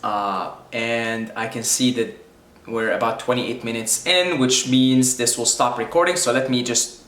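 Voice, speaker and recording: A man's voice: mid-range voice, measured pace, a bit bright, echoey room. A man from Syria whose first language is Arabic, thinking aloud in a reflective spiritual monologue, repeating his phrases as he goes. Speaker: video creator speaking on spirituality.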